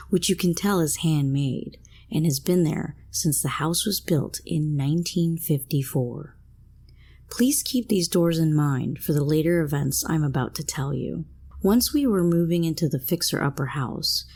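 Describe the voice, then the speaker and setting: A woman with a deep, husky voice narrates a creepy tale in the first person. Her delivery is that of a storyteller reading a prepared script. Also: darkish tone. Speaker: narrator of listener-submitted scary stories.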